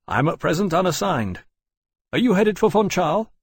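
Man acting a stiff, formal naval captain, pitched up. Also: sing-song, moderate pace, breathy edge, dry recording, studio quality, heavily acted. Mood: formal, guarded. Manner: stiff, correct. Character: formal, reserved male naval captain, courteous, firm.

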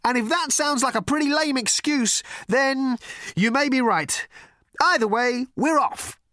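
A man voicing a brash, breezy male space traveller in an audiobook, loud and flippant. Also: extremely high pitch, a little slow, no echo, studio quality, pitched above the performer's own voice, full character voice. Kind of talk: audiobook character voice.

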